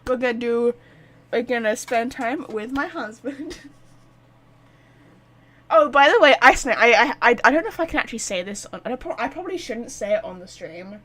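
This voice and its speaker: A woman livestreamer with a slightly high voice talks casually to her viewers while gaming. She rambles and gets excitable, in loose, chatty phrases. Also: moderate pace. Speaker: live streamer. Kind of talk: casual game stream chat.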